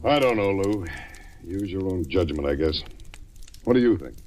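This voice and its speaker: Deep-voiced police inspector, dry and deadpan, old radio show sound. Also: male voice, normal speed, music in the background. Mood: cautious, dry. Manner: deadpan, matter-of-fact. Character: dry, unruffled male police inspector, the detective's boss. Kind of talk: old-time radio crime drama acting.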